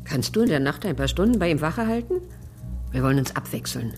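A woman's voice: sits low, big pitch swings, fairly quick, darkish tone. Concerned woman, faint music behind. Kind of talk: German crime radio drama acting.

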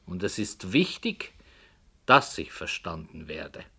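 An actor playing a brooding, hesitant author who tells a dark story in a slow, hushed voice that sometimes drops to a whisper, in 1960s radio play style. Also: male voice, low voice, huge pitch swings, breathy edge, full character voice. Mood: musing.

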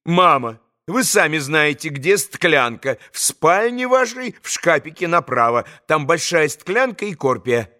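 A man's voice: a little high, very animated, a little slow, few pauses, pushed and bright, full character voice. A man reading an audiobook and voicing an imperious, nervous young girl who gives commanding, impatient orders. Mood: pleading, impatient. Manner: commanding, urgent, impatient outbursts.

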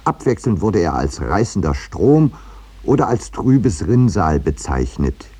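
Radio play lead: urbane middle-aged painter-narrator, low register, wry, self-important, measured delivery. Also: male voice, sing-song, warm tone, husky, full character voice. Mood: dry irony. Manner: measured, ironic, slightly pompous. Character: landscape painter Eduard Merlin, urbane wry storyteller, looking back.

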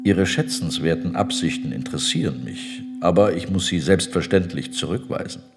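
An actor in a radio drama playing an unyielding general, speaking with measured, condescending politeness. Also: male voice, sits low. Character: coldly courteous, unyielding Ottoman general in power. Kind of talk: German radio drama acting.

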